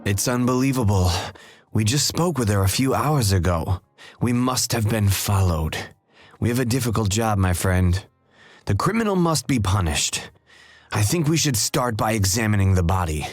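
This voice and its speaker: A man's voice: lowish voice, lively intonation, moderate pace, no room sound, soft background music. A man voicing a determined detective in a mystery game, speaking earnestly and dramatically to a partner. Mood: grave resolve. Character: determined male detective talking to his partner. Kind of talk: video game voice acting.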